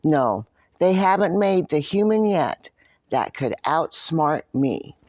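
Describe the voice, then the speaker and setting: A woman's voice: low-pitched, big pitch swings, slow, a little mellow, dry recording, lo-fi sound, exaggerated voice. A woman voicing a grumpy, boastful little old male leprechaun shoemaker from a storybook, feisty and indignant. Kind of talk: storybook character narration.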